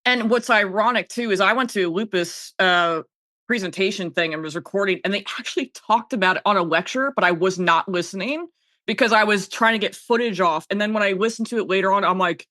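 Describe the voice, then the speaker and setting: A woman's voice: medium pitch, fairly quick, bright, forceful tone, dry recording, studio quality. A woman from South Jersey with an American accent, chatting casually and telling personal stories, often breaking off and restarting her sentences. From South Jersey, United States. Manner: informal, frequent self-interruptions. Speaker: podcast guest, audio and video producer. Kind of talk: casual chat, personal storytelling.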